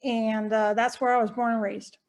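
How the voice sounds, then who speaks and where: A woman's voice: fairly high pitch, flat delivery, normal speed, natural voice. A woman playing a proud, eccentric woman in her seventies, folksy and rambling, with a light Southern country twang and frequent laughs. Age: 70s. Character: spunky eccentric old Hawaiian-born woman, eighty, proud. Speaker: fictional character being interviewed. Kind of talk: improvised in-character interview.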